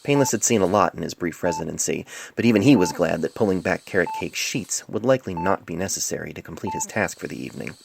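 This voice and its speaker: A man with a deep voice and frequent vocal fry narrates a story in a measured, literary way. It is an amateur reading on a cell phone mic, with noticeable noise behind the voice. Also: animated. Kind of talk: scripted dramatic story narration. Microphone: cell phone microphone.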